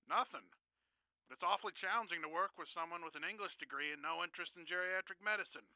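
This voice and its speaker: Exasperated male manager, high forceful voice, insistent, matter-of-fact, dry radio play. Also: fast talker, no room sound, highs cut off. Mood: blunt, dismissive. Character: blunt, exasperated boss of a home-care agency. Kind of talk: radio drama dialogue.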